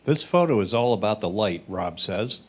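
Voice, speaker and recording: A man with a fairly low voice reads written critiques aloud. He passes on a judge's comments as a reader at a competition. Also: lively intonation, normal speed, husky.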